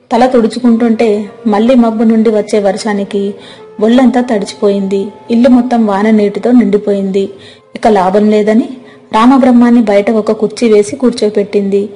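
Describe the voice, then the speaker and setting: A woman's voice: medium pitch, mellow. A woman narrating a scripted moral story as a storyteller. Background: faint music.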